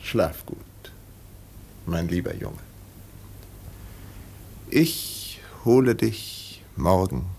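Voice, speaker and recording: An actor playing a suave, haughty English lord in a 1950s radio play, speaking with aristocratic condescension and deliberate hesitations. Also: male voice, low voice, sing-song, gravelly, warm tone, a little hiss or hum, exaggerated voice. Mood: tender. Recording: vintage 1950 radio recording.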